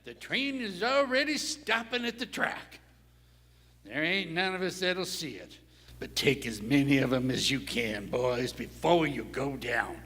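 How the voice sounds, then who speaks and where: Man acting raspy, high-pitched, desperate cowboy. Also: expressive, measured pace, sharp tone, full character voice. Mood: grimly resolved. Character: excitable old-West rancher and outlaw, desperate cowboy. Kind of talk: live Western radio play acting. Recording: echoey hall, live stage performance.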